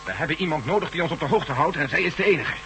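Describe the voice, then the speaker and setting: An actor playing a military general in a radio drama. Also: male voice, fairly high pitch, lively intonation, very fast, fairly bright tone. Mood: sober. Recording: humming noisy old radio recording.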